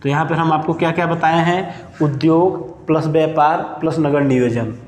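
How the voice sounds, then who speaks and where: A male teacher lecturing and dictating notes, asking questions and answering them himself, slowing down to spell out each word as he writes. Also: a little high. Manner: asks and answers his own questions, slows down to dictate words while writing. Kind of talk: classroom lecture with note dictation. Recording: echoey room with long reverb.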